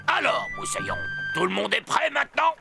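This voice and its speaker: A jolly old grandpa sea dog for a cartoon. His delivery is theatrical and swoops wildly up and down with a creaky edge. Music plays behind him. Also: very high-pitched, huge pitch swings, a little fast, heavily acted. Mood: playful, dramatic. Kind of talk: French cartoon dub.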